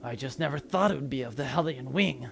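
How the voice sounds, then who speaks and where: A man's voice: medium pitch, fast, vocal fry, background noise, close to an everyday voice. A man playing an earnest, grandiose and dryly comic space commander in a sci-fi comedy audio drama. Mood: grave, dry.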